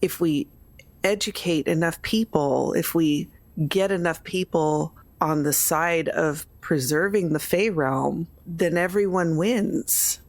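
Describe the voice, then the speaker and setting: Low, creaky-voiced woman, slow and reasoned. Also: no room sound. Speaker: tabletop game player. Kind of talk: in-character roleplay discussion.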